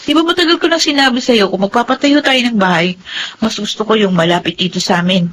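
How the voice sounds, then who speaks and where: A woman's voice: on the high side, quick pace, slight background noise, lightly acted. An actress playing a stubborn young wife of thirty who clings to her mother and speaks in a scolding, defiant way. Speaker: wife in radio drama. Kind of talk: Filipino radio drama acting.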